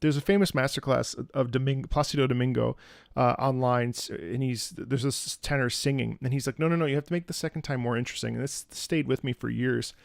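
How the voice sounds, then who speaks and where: A man streaming a casual live reaction and musical analysis, animated and conversational, close to the microphone. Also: mid-range voice, brisk, gravelly, no echo. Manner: animated, conversational, profane, demonstrates by singing. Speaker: streamer and opera singer reacting.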